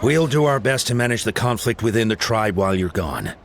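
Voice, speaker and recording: An actor playing a grave, thoughtful older tribe chief in a video game, with a deliberate, authoritative delivery. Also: male voice, lowish voice, lively intonation, slight background noise. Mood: deliberate, encouraging.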